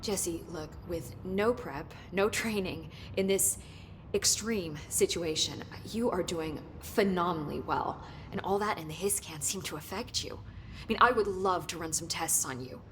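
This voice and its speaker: A woman's voice: fairly low pitch, a little slow, a bit bright, slight background noise. A woman playing an earnest, eager agency scientist in a video game, explaining things with some hesitation. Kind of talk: cinematic video game dialogue.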